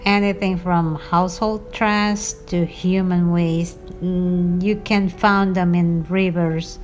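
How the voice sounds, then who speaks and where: A woman's voice: on the low side, fairly even intonation, slow pace, faint music behind. A woman, speaking thoughtfully with frequent hesitations as an interviewee.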